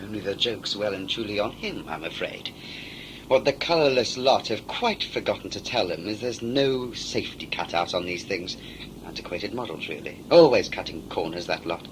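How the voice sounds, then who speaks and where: A man's voice: fairly low pitch, background noise. A man playing a smug, talkative villain in a sci-fi audio drama, a covert saboteur who is condescending and mock-polite.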